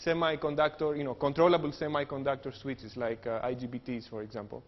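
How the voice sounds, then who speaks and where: A man's voice: medium pitch, little pitch movement, fast. A man with a Greek accent, an engineering professor giving a technical lecture with jokes and frequent fillers. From Greece. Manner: joking, explanatory, frequent uh fillers. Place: lecture hall. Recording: dull lo-fi hall recording with long reverb.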